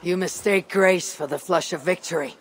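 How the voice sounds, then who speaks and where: An actor playing a blunt, steady warrior who speaks tersely. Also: male voice, sits high, moderate pace, slight background noise. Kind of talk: video game cutscene acting.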